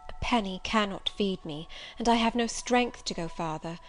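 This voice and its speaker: A woman's voice: mid-range voice, normal speed, slight background noise, close to an everyday voice, poor audio. Woman acting desperate, pleading young female wanderer. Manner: imploring, formal wording. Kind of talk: audiobook character voice.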